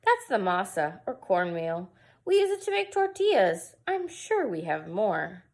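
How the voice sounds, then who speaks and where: A woman's voice: medium pitch, a little slow, creaky. A woman voicing an eager, earnest, worried young boy in a children's storybook read-aloud. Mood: reassuring. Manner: expressive, earnest.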